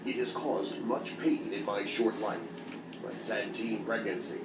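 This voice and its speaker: A man playing a kid who has a grown man's deep voice, narrating a comic storytime in a deadpan, mock-solemn way. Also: a little slow, roomy sound, heavy background noise, natural voice. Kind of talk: comedic storytime animation narration.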